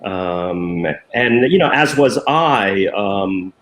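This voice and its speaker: A man's voice: lowish voice, expressive, drawn-out words, a bit bright, echoey room. An older man, a former newspaper design director, answering interview questions in a hesitant way full of ums and restarts over a video call.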